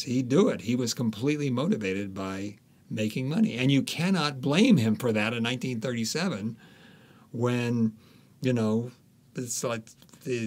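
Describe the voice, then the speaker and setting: A man speaking as an interviewed author, telling anecdotes conversationally and pausing to find his words. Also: medium pitch.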